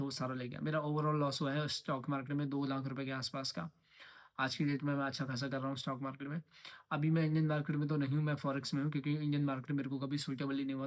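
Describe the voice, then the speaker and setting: A young man in his twenties from Uttarakhand, talking casually to camera in a rambling vlog and slipping English phrases in. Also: fairly high pitch. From Uttarakhand, India. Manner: rambling, mixes English phrases, repeats himself. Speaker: trading vlogger.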